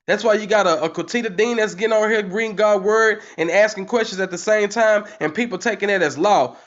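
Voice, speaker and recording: A man in his forties hosts a heated commentary stream, his voice sitting high for a man. He repeats himself and keeps asking the chat rhetorical questions. The sound is dull and lo-fi. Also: brisk, a bit bright. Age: 40s. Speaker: channel host. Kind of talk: livestream commentary, reading the chat.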